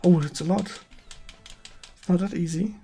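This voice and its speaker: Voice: mid-range voice, fairly even intonation, moderate pace, dark tone, slightly rough, faint music behind. A game stream host with a German accent, chatting casually and searching for words in a second language.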